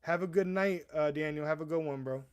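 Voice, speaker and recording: A man's voice: on the high side, brisk, clean tone. Stammering young man chatting on a livestream. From US East Coast. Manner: rambling, stammering restarts, calls viewers "bro". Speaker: livestream host. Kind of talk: casual live chat.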